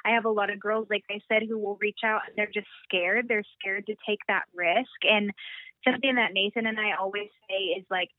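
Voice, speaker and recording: A woman's voice: mid-range voice, steady tone, fairly quick, no echo. Young woman on a tinny phone line. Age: 20s. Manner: chatty, upbeat, lots of fillers. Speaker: guest, boutique founder. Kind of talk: casual phone interview.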